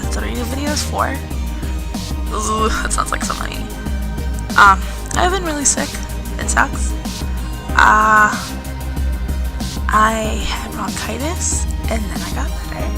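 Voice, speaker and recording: Hoarse, sick woman with a gravelly voice. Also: fairly high pitch. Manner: chatty, sympathetic asides. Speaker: let's play host. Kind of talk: casual gameplay commentary. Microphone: boosted desk mic.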